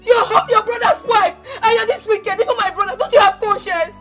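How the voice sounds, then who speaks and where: Actress as a shrill, sobbing young woman, pleading and wailing. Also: fairly even intonation, a little fast, over music. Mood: anguished shouting. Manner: tearful, pleading, rising to shouting. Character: desperate young woman Agatha pleading with her uncle. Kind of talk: Nollywood-style drama acting.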